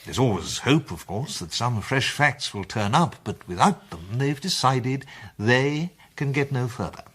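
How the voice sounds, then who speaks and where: A grand, self-satisfied club president in a period radio drama. His upper-middle-class English voice is husky and swoops expressively as he savours each formal, oratorical phrase. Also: mid-range voice, animated, a little slow, a bit bright. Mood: relishing, conspiratorial. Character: pompous, self-satisfied male president of a gentlemanly detective club. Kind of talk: 1920s-set British radio play acting.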